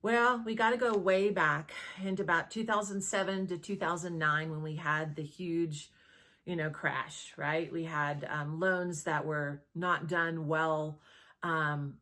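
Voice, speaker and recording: A woman real estate agent talking conversationally to camera, explaining as she goes and often checking in with a quick questioning tag. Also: deep voice, steady tone, sharp tone. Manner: conversational, checks in with rhetorical "right?".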